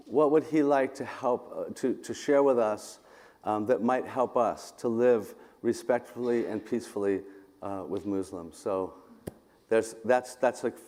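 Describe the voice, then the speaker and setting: A man's voice: on the low side, dark tone. Man speaking in a long-reverberating hall. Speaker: pastor hosting a church gathering. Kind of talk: informal spoken introduction to a live audience. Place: large indoor hall with a sound system.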